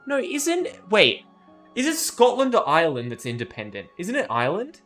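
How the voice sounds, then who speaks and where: A man's voice: high-pitched, huge pitch swings, moderate pace, fairly bright tone, soft background music. A man streaming a game, chatting casually in a sweary, argumentative, teasing way. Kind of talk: casual banter while livestreaming a game.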